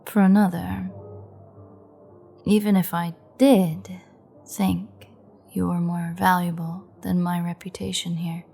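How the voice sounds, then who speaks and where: Woman playing a slow, creaky, menacing vampire. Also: fairly low pitch, level tone, dark tone, faint music behind. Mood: cold, threatening. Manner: taunting, sultry, breathy half-whispered asides. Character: menacing, amused female vampire gloating over a captured hunter. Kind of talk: audio roleplay acting.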